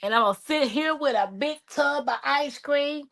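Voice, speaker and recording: Woman in her forties, bright, slightly high voice, playful livestream host. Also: unhurried, creaky. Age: 40s. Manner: playful, laughing, Southern-style slang. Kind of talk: casual livestream chat.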